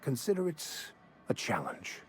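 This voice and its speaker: An actor playing a genteel, bookish man in a video game, speaking in a formal, measured and wordy way. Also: medium pitch, wildly swooping pitch, darkish tone. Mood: courteous, wry. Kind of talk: video game character dialogue. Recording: music behind the voice.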